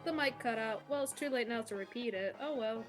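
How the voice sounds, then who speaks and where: A woman's voice: fairly high pitch, brisk. A young woman chatting on a live stream from home, rambling with plenty of fillers and laughs. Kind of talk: casual chat on a live stream. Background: music playing.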